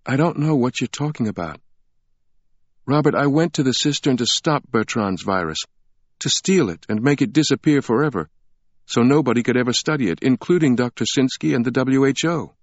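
A man's voice: expressive, brisk, no echo, natural voice. A man voicing a tearful, pleading young woman. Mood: urgent. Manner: soft, pleading, raised pitch. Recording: dry, slightly muffled recording.

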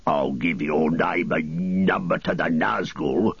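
A man doing a gruff, bullying orc soldier. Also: low-pitched, slow pace, no echo, lo-fi sound, full character voice. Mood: menacing. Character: big brutish orc soldier, bullying and threatening.

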